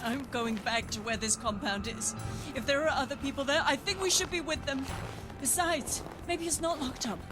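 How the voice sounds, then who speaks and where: A high-pitched woman , an actress plays a worried survivor at the end of the world. Her delivery is concerned and questioning, still stubbornly hopeful, with clear music behind her. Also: normal speed, lightly acted. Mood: determined. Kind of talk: dramatic acting.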